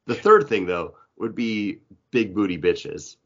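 Low-voiced man chatting, dull lo-fi sound. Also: measured pace, fairly bright tone. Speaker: podcast co-host. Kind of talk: casual comic book podcast chat. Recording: dull, lo-fi audio.

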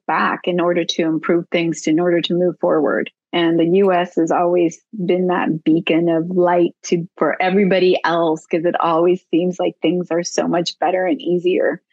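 A woman's voice: on the low side, flat delivery, moderate pace, hardly any pauses, a little mellow, no echo, lo-fi sound. A woman speaking as an interview guest, reflective and earnest, with frequent fillers. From United States. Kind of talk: casual interview conversation.

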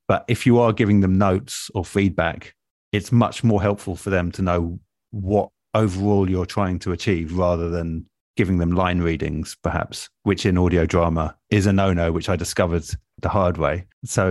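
Low-voiced guy chatting through advice, measured and earnest, really dry close sound. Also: a little mellow, dry recording. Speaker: interview guest, audio drama producer. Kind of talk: relaxed advice-giving conversation.

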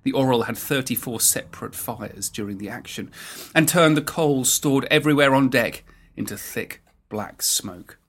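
Man narrating history in measured tones. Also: mid-range voice, a bit bright, husky. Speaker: narrator of a naval history programme. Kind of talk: scripted documentary narration.

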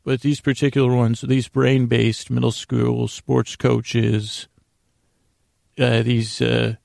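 Very gravelly, meandering man podcaster. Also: mid-range voice, level tone, darkish tone, dry recording, clean studio sound. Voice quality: gravelly, creaky. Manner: meandering, halting, stammering with repeated words; self-deprecating. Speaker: podcast host. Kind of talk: rambling bedtime podcast monologue.

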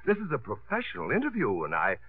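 An actor playing a glib, flirtatious, slightly absent-minded artist in a 1950s radio comedy. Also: male voice, on the low side, wildly swooping pitch, quick pace, breathy edge, full character voice. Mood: mock businesslike. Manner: glib, teasing, bossy. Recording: dry, tinny 1950s radio broadcast.

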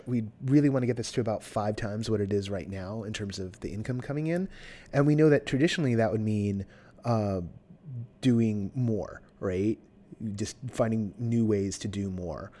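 A man's voice: lowish voice, a little mellow. A man hosting a podcast in casual chat, rambling and self-deprecating with many restarts. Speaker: podcast host.

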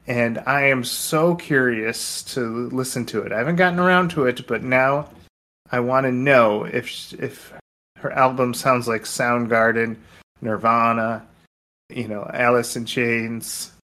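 A man's voice: medium pitch, steady tone, unhurried, bright, forceful tone. A man co-hosting a podcast, chatting casually with wry, playful turns of phrase. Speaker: podcast co-host. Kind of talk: casual movie podcast chat.

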